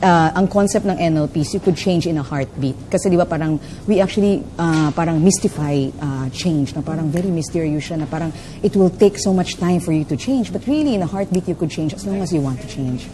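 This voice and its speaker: A woman with a Filipino accent and a low, deep voice, talking as a coach in an interview. She explains fluently, with plenty of fillers and a habitual "no?" at the end of her points. There is audible background noise around her voice. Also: quick pace, hardly any pauses, warm tone. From Philippines. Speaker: life coach and trainer. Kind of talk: interview answers, mixing English and Filipino.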